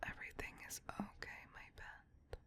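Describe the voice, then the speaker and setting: A woman playing a doting, possessive vampire queen in an ASMR roleplay, whispering softly and soothingly in an intimate, coaxing manner. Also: normal speed, close to an everyday voice. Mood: tender, protective. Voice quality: breathy, soft whisper.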